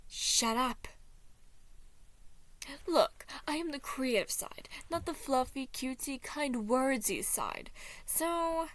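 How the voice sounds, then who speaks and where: A woman's voice: high voice, big pitch swings, slow, a bit bright, voice pushed up, heavily acted. A woman voicing a haughty, hot-headed prince in a dramatic, indignant manner for a story reading. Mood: defensive. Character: haughty, theatrical, hot-headed male prince, creative side.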